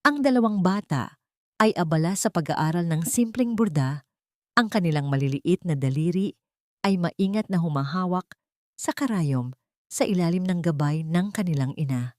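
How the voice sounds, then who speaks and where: A woman narrates a dramatic love story as a storyteller. Her voice sits fairly low, and she delivers the script in measured, literary phrases. The recording is close and dry. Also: expressive, a little mellow, dry recording.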